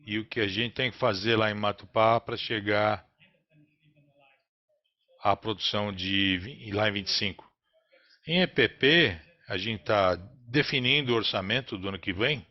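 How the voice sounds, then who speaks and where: A man's voice: fairly low pitch, measured pace. Brazilian man on dull conference-call audio. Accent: Brazilian Portuguese.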